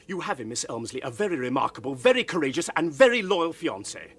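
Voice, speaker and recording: An actor playing a rational, commanding English gentleman in a period radio drama, formal, articulate and emphatic. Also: a little high, very animated, fast, slightly rough, full character voice. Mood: stern, exasperated.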